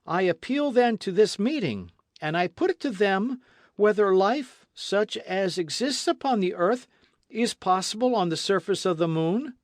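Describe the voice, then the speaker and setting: A bold, playful adventurer, put on by a male audiobook reader speaks in a high, loud, sing-song voice. He sounds supremely confident, almost teasing. The sound is dry and close. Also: animated, unhurried, no echo. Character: bold, witty adult Frenchman adventurer. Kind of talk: audiobook character reading.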